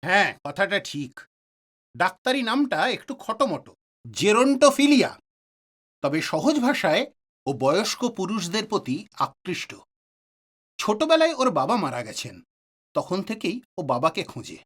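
Man acting a psychiatrist with lively intonation. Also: high-pitched, a little slow, long pauses, exaggerated voice. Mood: explaining. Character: calm male psychiatrist, a family friend, explaining. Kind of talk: Bengali audio drama acting.

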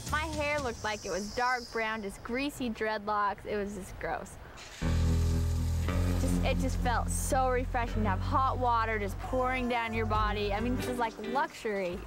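A young woman recounts her experience in a confessional interview, eager and animated. Her voice sits a little high, with loud music playing underneath. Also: moderate pace. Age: young adult. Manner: enthusiastic storytelling. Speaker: reality show contestant.

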